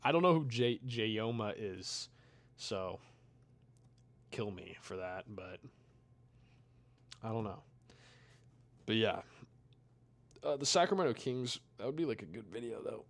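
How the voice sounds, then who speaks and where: A young man talks loosely with his viewers as he plays, his voice worn and getting tired. He drifts into low mumbles and asides, then picks back up in quick bursts. The sound is close and dry, with no room echo. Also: expressive, creaky. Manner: rambling, trails off, mumbles and quiet asides between bursts. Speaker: streamer reading chat. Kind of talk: casual chat while playing a video game.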